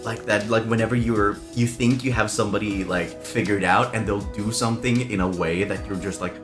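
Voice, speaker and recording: A man chatting casually on a livestream, expressive and amused as he shares anecdotes with a friend. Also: on the low side, brisk. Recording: stream audio with music behind. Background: background music.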